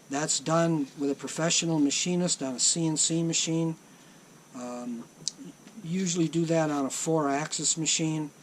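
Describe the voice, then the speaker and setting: A middle-aged man explains a repair step by step in an unhurried, plainspoken way, stopping often on ums and uhs. His voice sits a little high for a man, and he talks very slowly. Light background noise hangs under the recording. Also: a little mellow, low-quality recording. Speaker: tape deck repair technician. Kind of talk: workshop demonstration.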